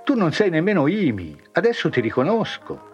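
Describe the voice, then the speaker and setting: A man voicing a cheerful, confused older ex-grocer who talks with brisk shopkeeper banter. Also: a little high, huge pitch swings, lo-fi sound, soft background music, voice pushed up. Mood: jovial.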